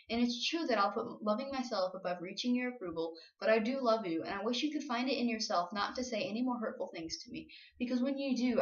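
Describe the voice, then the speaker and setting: A young adult woman performing spoken-word slam poetry with an earnest, emotional, confessional delivery. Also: fairly high pitch. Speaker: slam poet. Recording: roomy, dull lo-fi home recording.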